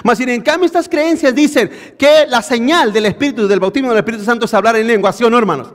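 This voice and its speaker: Male pastor, very high voice, preaching, reverberant church hall. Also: quick pace. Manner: preaching, addresses listeners as brothers, rhetorical questions. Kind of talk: sermon. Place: church in Bakersfield, California.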